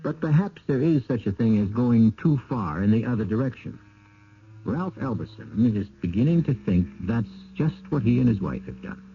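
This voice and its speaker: A man's voice: mid-range voice, expressive, normal speed. A man with an American accent hosting a radio mystery drama with dramatic scripted narration. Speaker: host and narrator. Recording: muffled, noisy vintage radio recording.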